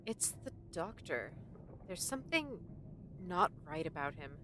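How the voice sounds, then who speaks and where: A woman's voice: on the low side, sing-song, unhurried. A woman playing a principled, distrustful young companion in an audio drama, challenging and emotional in manner. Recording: clear background noise.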